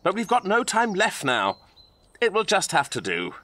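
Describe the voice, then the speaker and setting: A man's voice: high-pitched, wildly swooping pitch, moderate pace, sharp tone, full character voice. A man playing a fussy, earnest, anxious court composer in a theatrical historical comedy audio drama. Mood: brisk, pleased. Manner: theatrical, flustered.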